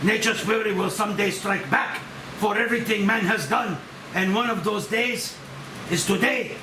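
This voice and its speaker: Man playing a grieving scientist, high voice, distant echoey recording. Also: normal speed. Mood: remorseful, grave. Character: grieving, remorseful Japanese nuclear scientist, an older doctor. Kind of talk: script table read. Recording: distant mic, echoey room, steady hiss.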